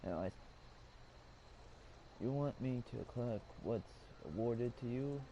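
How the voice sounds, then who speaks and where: A man's voice: medium pitch, unhurried, warm tone, muffled recording, slight background noise. A man casually commenting while playing a game and rambling to himself. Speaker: gamer. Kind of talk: casual let's-play commentary. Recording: clear game music behind voice.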